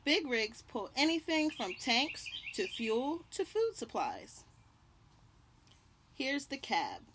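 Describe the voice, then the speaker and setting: Slow, higher-pitched young woman reading aloud outdoors. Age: young adult. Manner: deliberate, pausing to point out and label pictures. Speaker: children's read-aloud host. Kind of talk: reading a picture book aloud to children. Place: outdoors on a house porch by trees. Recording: birdsong and light outdoor background noise. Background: birds chirping.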